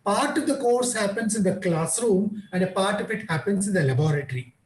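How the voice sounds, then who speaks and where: A man's voice: high voice, animated, fairly quick, echoey room. A man with an Indian English accent, explaining teaching methods in an online conference talk and answering questions in a patient, repetitive way. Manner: explanatory, repeats parallel phrases. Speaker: guest lecturer.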